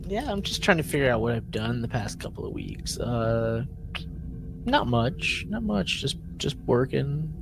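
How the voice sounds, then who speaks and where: A man co-hosting a podcast in relaxed, informal chat, with a wry and joking way of talking. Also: mid-range voice, unhurried, creaky, over music. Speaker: podcast co-host. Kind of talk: casual chat between friends.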